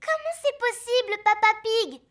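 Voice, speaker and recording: A woman's voice: very high-pitched, moderate pace, a bit bright, no room sound, studio quality, faint music behind. A woman voicing a cheerful, curious little girl piglet in a children's cartoon, with childlike exclamations.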